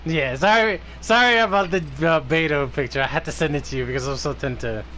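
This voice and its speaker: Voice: mid-range voice, fairly quick, sharp tone, slight background noise. A game streamer chatting casually, in a teasing, sweary way. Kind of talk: casual live gaming chat.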